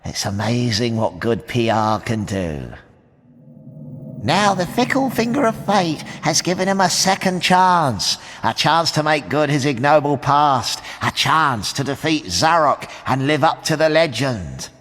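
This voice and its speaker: An actor playing a wry, mocking stone gargoyle in a video game, with a grandiose and dryly theatrical delivery. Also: male voice, a little high, measured pace, a bit bright, long reverb tail. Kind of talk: animated game narration and dialogue.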